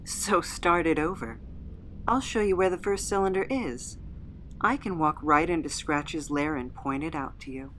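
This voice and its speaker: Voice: mid-range voice, moderate pace, fairly bright tone, a little hiss or hum, lightly acted, rough recording. A performer playing a resigned but argumentative captive with a dry, sarcastic manner in a comedic audio drama. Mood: sardonic. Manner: dry, sardonic.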